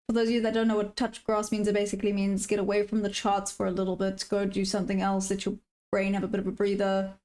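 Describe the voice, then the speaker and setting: A woman's voice: medium pitch, darkish tone. A woman live-streaming her day trading, chatting casually and answering viewer questions as she goes. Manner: upbeat sign-off, friendly to viewers.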